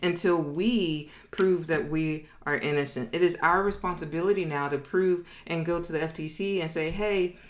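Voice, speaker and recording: A woman with a slightly low voice teaches informally, like a business educator at a board. She is conversational and emphatic, tossing in little check-in tags and voicing imagined quotes as she explains. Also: normal speed, hardly any pauses. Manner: conversational, emphatic, frequently checks in with "okay?" and "right?".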